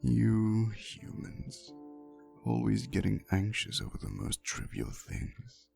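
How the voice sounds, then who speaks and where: A man playing an ancient vampire boyfriend in an intimate ASMR roleplay, soothing and unhurried, gently teasing and fond as he tells stories. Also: on the low side, huge pitch swings, vocal fry, soft background music, heavily acted. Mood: tender, teasing.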